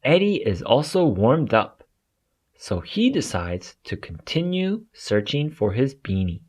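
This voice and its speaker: A man narrating a children's storybook aloud in an expressive way for young listeners. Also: medium pitch, a little slow, breathy edge.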